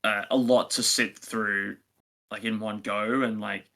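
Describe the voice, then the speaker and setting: A man's voice: on the low side, steady tone, unhurried, bright, forceful tone. A man co-hosting a review podcast, talking casually and conversationally as he gives his opinions.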